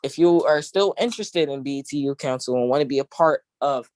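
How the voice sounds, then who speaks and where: A young man, president of a youth council, speaking casually on a video call in an easygoing, halting way. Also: mid-range voice, darkish tone. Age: young adult. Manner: easygoing, halting, with many fillers and restarts.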